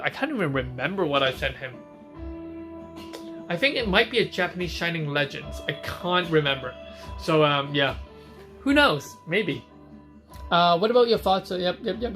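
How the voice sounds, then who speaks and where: A man from Hong Kong hosts a live stream in a loose, chatty way, fielding viewers' questions as they come in. His voice sits a little high for a man. Faint music plays behind him. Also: expressive, a little slow, pauses often, a bit bright. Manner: chatty, reads out viewer questions and answers them on the spot.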